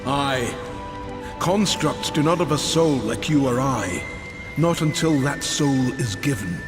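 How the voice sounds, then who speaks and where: An actor playing a weary, solemn old mentor in a fantasy video game, with measured speech. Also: male voice, mid-range voice, lively intonation. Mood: solemn, explaining. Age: older. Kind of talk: video game character acting. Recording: long reverb, music behind.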